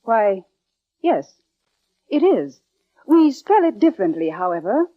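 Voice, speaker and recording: A woman's voice: medium pitch, very animated, measured pace. Prim, lilting British lady. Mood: guarded, prim. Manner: polite, genteel, guarded. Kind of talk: 1940s radio acting. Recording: dull, band-limited old radio recording.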